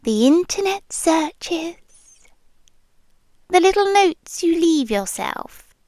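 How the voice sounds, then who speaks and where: Woman acting a high, slow-spoken British yandere girlfriend. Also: animated, dry recording, exaggerated voice. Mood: teasing, intimate. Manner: soft, teasing, drifting between murmur and whisper. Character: possessive, lonely, playful yandere girlfriend living as a voice in the listener's head. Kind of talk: ASMR roleplay, intimate slow delivery with frequent whispering. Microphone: close ASMR microphone, dry.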